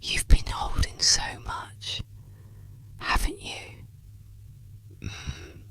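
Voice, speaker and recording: A breathy, gentle whisper, speaking soothing and reassuring words in the style of an ASMR guided relaxation session. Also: low voice, sing-song, drawn-out words. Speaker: ASMR therapist roleplayer.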